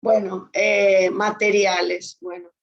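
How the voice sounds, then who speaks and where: A woman's voice: mid-range voice, little pitch movement, slow, vocal fry. A woman with an Argentine accent teaching a class spontaneously, prompting people with questions. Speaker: course teacher. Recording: dull online class audio.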